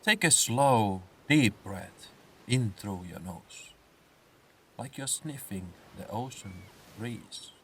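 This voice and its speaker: A man narrating a scripted guided meditation in a soothing, unhurried way, with phrases set apart by pauses. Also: lowish voice, expressive, a bit bright, recorded from a distance, slight background noise. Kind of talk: slow scripted guided meditation with comic swearing.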